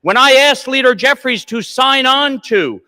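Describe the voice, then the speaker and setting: A man's voice: very high-pitched, animated, unhurried, bright, forceful tone, smooth. A congressman giving a combative floor speech in a large legislative chamber. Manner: combative, rebutting with figures and quotes. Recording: long reverb of a large chamber.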